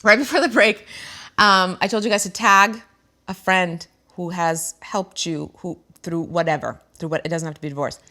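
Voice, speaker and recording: A woman's voice: on the low side, normal speed, sharp tone. A middle-aged woman hosting a talk show, speaking earnestly and emotionally to viewers about her own life, with frequent fillers. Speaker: show host. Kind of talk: candid personal talk to viewers.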